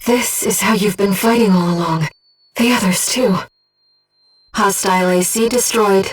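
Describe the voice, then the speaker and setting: Earnest, husky female game guide. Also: mid-range voice, measured pace, far from the mic, soft background music. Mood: focused briefing. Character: soft-spoken, earnest female voice in a pilot's head, loyal guide. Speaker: mission support guide in a mecha video game. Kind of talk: scripted video game voice acting, tactical callouts.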